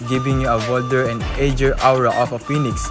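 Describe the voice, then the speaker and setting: A man reads a prepared voice-over about his couture look in a formal, recited way. His voice is of middle pitch. Music plays clearly under him. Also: level tone, a little fast. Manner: formal, read from a script. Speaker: model contestant. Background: background music.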